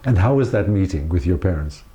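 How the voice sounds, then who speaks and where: A man interviewing an older woman about her life, gently prompting her with short follow-up questions. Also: low voice, expressive, a little fast.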